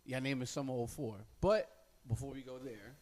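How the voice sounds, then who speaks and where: A man's voice: medium pitch, moderate pace, a little mellow, slight background noise. A man hosting a podcast interview, talking in loose, joking, slangy banter. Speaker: podcast host. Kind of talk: casual interview chat.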